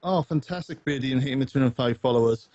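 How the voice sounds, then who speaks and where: A man's voice: mid-range voice, fast, mellow, dry recording. A man co-hosting a podcast, chatting casually with live viewers in a rambling, hesitant, wry way. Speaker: podcast co-host and streamer. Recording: dull, close, lo-fi voice chat.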